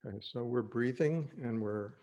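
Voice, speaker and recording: A man leading an unscripted guided meditation as a teacher, speaking gently and reassuringly in halting phrases with pauses. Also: mid-range voice, lo-fi sound.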